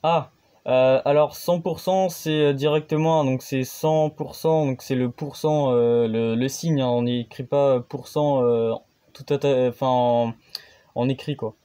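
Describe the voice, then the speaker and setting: A man's voice: mid-range voice, fairly even intonation, a little slow, warm tone, clean tone. A man hosting his own amateur radio channel, explaining things casually and hesitantly, with many fillers and restarts.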